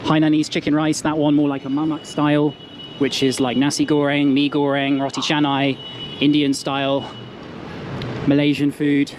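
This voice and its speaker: Flat-voiced man vlogging on a noisy street. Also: a little high, normal speed. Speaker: travel vlogger. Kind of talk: walking travel vlog narration. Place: busy city street outdoors. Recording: loud outdoor street noise. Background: loud traffic and street noise.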